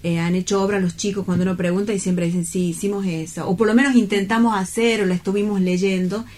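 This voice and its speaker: A woman's voice: mid-range voice, steady tone, quick pace, a little mellow. A woman with an Argentine accent hosts a casual round-table talk, speaking reflectively and often checking for agreement with little tag questions. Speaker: host of a round-table radio program.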